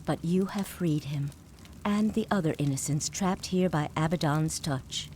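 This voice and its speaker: An actress playing a tormented, remorseful spirit guide in a video game, speaking in a formal, measured, solemn way. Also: female voice, deep voice, a little hiss or hum. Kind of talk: dramatic video game voice acting. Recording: loud music behind. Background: game score music.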